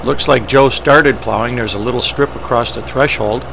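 Male pilot-presenter, medium pitch, creaky voice, plain instructional delivery in short phrases. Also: normal speed. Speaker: pilot presenting ski flying. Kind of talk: instructional narration, explaining step by step. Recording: steady engine and vehicle noise.

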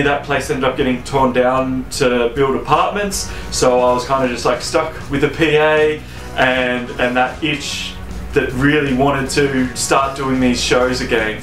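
A man, a venue founder talking casually in an interview with loose fillers and restarts. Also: medium pitch. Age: 30s. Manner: relaxed, rambling with fillers and restarts. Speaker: venue founder and sound engineer. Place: venue lounge, indoors. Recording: roomy echo, faint music behind.

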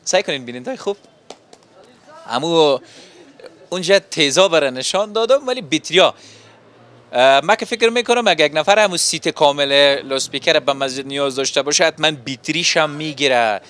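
A man's voice: a little high, a bit bright. A young man with an Afghan Dari accent, reporting and interviewing on location for television with a handheld microphone. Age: young adult. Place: open-fronted electronics shop on a market street.

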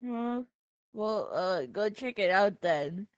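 A man's voice: high voice, animated, slow pace, clean tone, no echo, lo-fi sound. A young man chatting casually while improvising a skit with gaming friends. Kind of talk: casual improvised skit chat in a social VR game.

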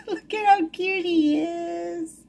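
A woman's voice: very high-pitched, extremely slow, creaky, darkish tone, a little hiss or hum. A woman chatting casually while unboxing toys at a table, easily delighted and giggly. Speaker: toy unboxing vlogger. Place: indoors at a wooden table. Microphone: camera over the table, hands-only.

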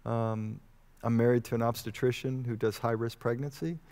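A man in his fifties from Texas answering as a medical expert in an informal interview, speaking in a measured, hesitant, explanatory way. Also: medium pitch, steady tone, a little mellow. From Houston, Texas, United States. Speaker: medical expert guest, vaccine researcher. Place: on stage in a church auditorium. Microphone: headset mic.

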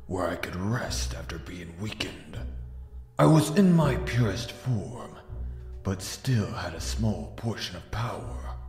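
A man voicing a sinister, gloating dark hedgehog villain in a comic dub, with a gravelly, menacing, theatrical delivery. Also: sits low, heavily acted. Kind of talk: scripted fan comic dub. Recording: echoey voice over background music.

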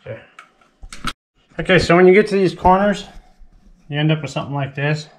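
A man's voice: a little high, brisk, slight background noise. A man hosting a video, casually explaining each step of a build while he works with a body-worn camera. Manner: plain step-by-step explaining while working with tools. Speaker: channel host. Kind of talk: casual how-to narration. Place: driveway outdoors, then house kitchen floor. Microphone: body-worn action camera.

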